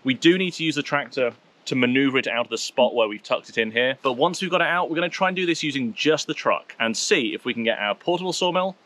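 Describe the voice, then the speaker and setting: A man in his thirties, a vlogger, talking outdoors in a wooded clearing and explaining things step by step. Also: mid-range voice, expressive, fast, pushed and bright. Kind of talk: casual explainer vlog.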